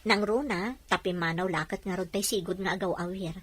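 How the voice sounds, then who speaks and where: A woman acts a patient caretaker in an audio drama. Her mid-pitched voice is natural and reassuring as she explains. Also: brisk, a bit bright, husky, dry recording.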